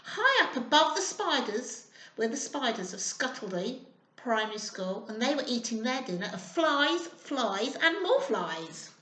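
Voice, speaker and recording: A woman's voice: on the high side, big pitch swings, measured pace, pushed and bright. A woman reading a picture book expressively to young children, prompting them with simple questions. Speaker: children's storyteller. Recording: roomy, echoing indoor sound.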